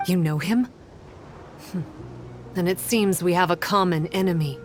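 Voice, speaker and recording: A woman playing a composed, formal priestess-like guide who explains things in a measured way in a video game. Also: on the low side, faint music behind, natural voice. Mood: thoughtful. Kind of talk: English video game dialogue.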